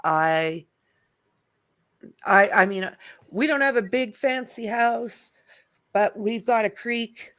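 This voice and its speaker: A woman hosting a casual livestream chat, talking in a relaxed, rambling way with lots of fillers. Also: a little high, slow pace, pauses often. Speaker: livestream host.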